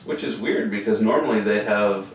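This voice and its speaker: Brief: easygoing young male co-host, lowish voice, picked up from across a roomy space. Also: normal speed, a little hiss or hum. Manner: laid-back, agreeable, says "dude". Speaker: podcast co-host. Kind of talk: casual sports podcast chat. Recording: roomy, distant mic.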